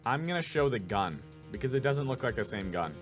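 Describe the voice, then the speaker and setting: A young man voicing a game playthrough, thinking aloud in an excitable way. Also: medium pitch, a little fast, faint music behind. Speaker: voice actor playing a game. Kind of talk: let's play reaction commentary.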